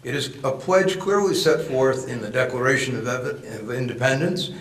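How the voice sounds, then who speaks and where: A man from Connecticut delivers a measured, formal scripted speech as an association president in a courtroom. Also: mid-range voice, a bit bright. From Connecticut, United States. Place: large echoey courtroom. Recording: echoey room, faint noise.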